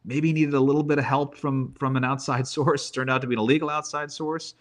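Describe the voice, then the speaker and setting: A man's voice: mid-range voice, steady tone, quick pace. A man giving casual sports analysis as a guest, thinking aloud and tossing in rhetorical questions.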